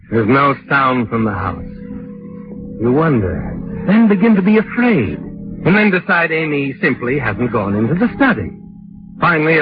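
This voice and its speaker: An actor playing an ominous, all-knowing narrator who sardonically addresses the culprit in a 1940s radio mystery. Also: male voice, mid-range voice, lightly acted. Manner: sardonic, addresses the character as "you". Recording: muffled vintage radio recording with music.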